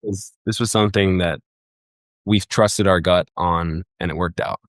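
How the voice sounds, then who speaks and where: A young man from Egypt with a very low voice talks casually on a podcast, circling his thoughts with lots of fillers and restarts. The sound is dry and close, with no room around it. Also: steady tone, unhurried, no room sound. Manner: rambling, many fillers and restarts. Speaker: guest, festival booker.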